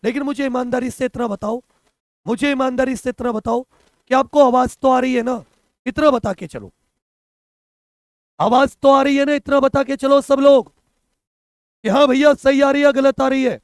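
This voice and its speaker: A hoarse young man teaching a live online exam-prep class, repeating answers and quizzing his students. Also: very high voice, steady tone, moderate pace, long pauses, no echo, studio quality. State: hoarse from a cough.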